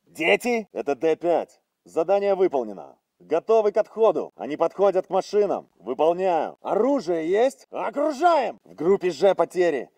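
An actor playing an alert Russian soldier giving curt military reports and orders in a video game, Russian-accented. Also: male voice, a little high, warm tone, no room sound.

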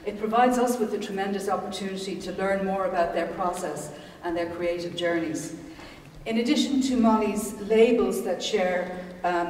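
A woman's voice: mid-range voice, a little mellow, slight background noise. Gallery host, woman in her 60s, formal reading voice, distant mic, big echoing room. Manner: formal, reads long lists of names and titles. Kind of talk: reading an introduction from notes. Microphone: far camera mic. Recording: echoey gallery room from far off.

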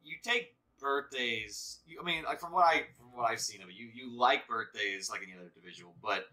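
A man co-hosting a gaming channel, chatting casually while he plays, with a slow, halting delivery full of restarts. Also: medium pitch, sharp tone.

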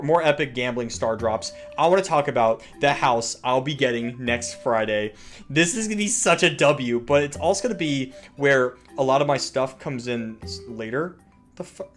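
A young man giving joking, slangy, excitable gaming commentary. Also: medium pitch. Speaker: gaming YouTuber.

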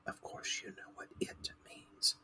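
A man's voice: measured pace, sharp tone. Man whispering as a pompous storybook mouse. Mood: cross. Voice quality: breathy whisper. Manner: pompous, formal. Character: self-important, easily irritated mouse lecturer.

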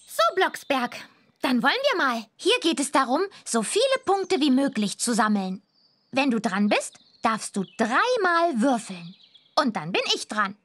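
A woman's voice: high-pitched, sing-song, a little slow, fairly bright tone, a little hiss or hum, heavily acted. A woman playing a cocky, teasing punk teenage witch girl in a children's computer game, with a boastful, slangy way of talking. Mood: cocky, challenging. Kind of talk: animated children's game voice acting.

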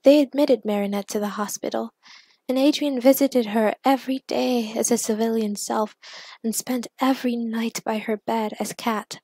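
A woman's voice: fairly high pitch, steady tone, moderate pace, darkish tone, dry recording. A woman reading scripted fiction as the narrator of a story. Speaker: narrator and writer of fan fiction.

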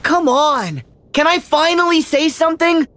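A man voicing an eager, impulsive teenage boy hero in an animated video game. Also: very high voice, a little slow, dry recording, full character voice. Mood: impatient.

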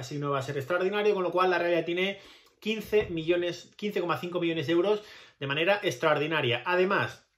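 A man's voice: a little high, a bit bright. A man in his thirties with a Spain Spanish accent, giving casual, opinionated, digressive commentary to camera as a channel host. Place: small plain indoor room with pale walls.

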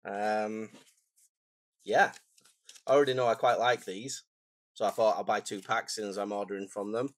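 A man, croaky and phlegmy from a cold, chatting casually and rambling through a stationery unboxing at his desk. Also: on the low side, steady tone, moderate pace, pauses often, dry recording. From England. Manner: rambling, self-deprecating, chatty asides. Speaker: stationery vlogger. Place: home desk, indoors.

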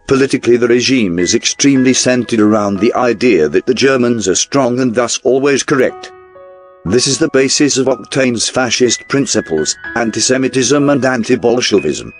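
A man reading a scripted newsreel-style documentary narration in a formal, measured way. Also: mid-range voice. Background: background music.

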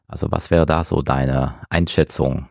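Male podcast interviewer, extremely low and gravelly, casual delivery, mellow tone. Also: steady tone, a little slow, dry recording, clean studio sound.

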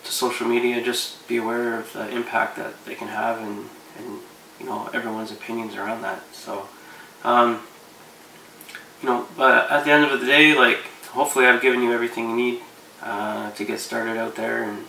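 A man in his thirties giving relaxed, rambling fishing advice to camera from his living room couch, with lots of fillers. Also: mid-range voice, moderate pace, creaky, echoey room, distant mic, a little hiss or hum, rough recording. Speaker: fishing vlogger.

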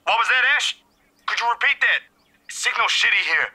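High, sharp young man on phone. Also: expressive, normal speed, exaggerated voice. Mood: puzzled. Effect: telephone filter. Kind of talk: visual novel game voice acting.

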